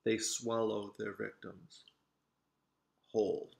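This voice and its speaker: Man reading as a studious, measured young girl detective. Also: fairly low pitch, level tone, vocal fry, heavily acted. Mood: explanatory. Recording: roomy, echoing sound.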